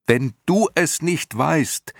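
A man voicing a desperate young boy hero in an audiobook, urgent, insistent and loudly pleading. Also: mid-range voice, normal speed, husky, dry recording, clean studio sound, pitched above the performer's own voice, lightly acted. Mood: frantic, shouting.

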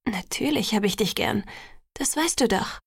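A woman's voice: medium pitch, expressive, moderate pace, creaky, husky, no room sound, clean studio sound. A woman voicing a reluctant, exasperated teenage bride-to-be in an audiobook, defensive and earnest. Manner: protesting, exasperated.

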